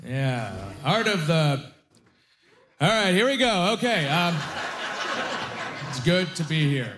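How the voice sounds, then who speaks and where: A young male comedian with a slightly high voice works a club stage through a handheld mic. He is lively and loud and often shouts out his punchlines. His first language is Aramaic. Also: slow, noisy background. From Canada (Nova Scotia). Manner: energetic, animated, shouting punchlines. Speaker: stand-up comedian. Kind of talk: stand-up comedy set.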